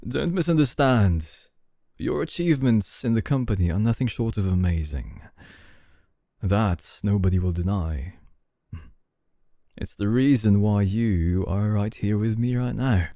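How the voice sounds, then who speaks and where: Man acting young male CEO, deep voice, slow measured delivery, suave, possessive, calm, soft, ASMR roleplay. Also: no echo, studio quality. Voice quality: dark, low, soft voice. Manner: slow, deliberate, smooth formal courtesy, soft chuckles. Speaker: voice actor.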